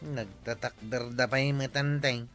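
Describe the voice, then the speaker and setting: A man's voice: medium pitch, fairly even intonation, unhurried, a bit bright, faint music behind, lightly acted. A man playing a carefree, mocking village farmer with an Ilocano accent in a radio comedy drama. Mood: teasing.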